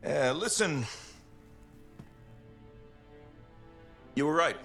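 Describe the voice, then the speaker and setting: A man playing a gruff, protective veteran pilot of an armored suit, blunt and emphatic, in a video game drama. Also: a little high, expressive, moderate pace, fairly bright tone, soft background music. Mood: calm, conciliatory. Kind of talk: cinematic game drama acting.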